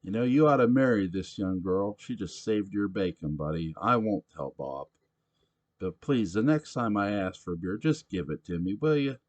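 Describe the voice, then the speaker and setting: Drunk, pushy middle-aged bar regular, a man reading the part, loud and gruff in a storybook reading. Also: fairly low pitch, lively intonation, moderate pace, pitched above the performer's own voice, close to an everyday voice. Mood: belligerent.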